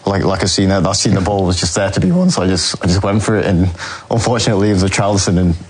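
A young man, answering interview questions in a halting way, full of ums and restarts. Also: deep voice, a little mellow, husky. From England. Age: young adult. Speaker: footballer guest.

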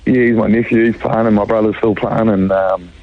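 A man in his thirties with a New Zealand accent, a club rugby player with a croaky voice, answering in a halting way with many fillers. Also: on the low side, fairly even intonation, no room sound. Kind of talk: radio phone interview. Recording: muffled phone line.

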